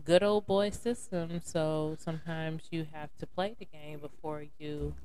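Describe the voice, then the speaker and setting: A woman's voice: sits low, fairly even intonation, measured pace, dark tone, smooth. A woman from North Carolina, talking casually on a podcast, rambling with lots of fillers and calling people honey. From North Carolina, USA. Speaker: podcast guest.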